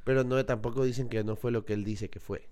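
A man's voice: mid-range voice, quick pace. A man from Ecuador, hosting a podcast in casual, argumentative chat. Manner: animated, argumentative, laughs often. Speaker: podcast host.

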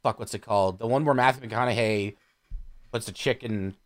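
A man chatting casually on a podcast, blunt and profane, speaking with lively emphasis. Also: lowish voice, normal speed. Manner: animated, emphatic.

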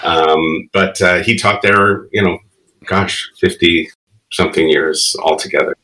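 Deep-voiced man telling rambling stories. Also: fairly even intonation, unhurried, sharp tone, poor audio. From the Bronx, New York. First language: Spanish. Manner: rambling, reflective storytelling with frequent laughs. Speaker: guest. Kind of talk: casual interview chat.

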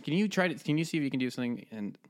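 A man's voice: fairly high pitch, lively intonation, racing. Casual, rambling male podcast host. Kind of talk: casual chat.

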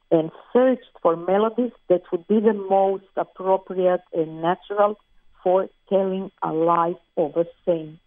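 Croatian-accented musician on a tinny phone line. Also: female voice, lowish voice, no echo. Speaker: guest musician. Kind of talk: interview over the phone.

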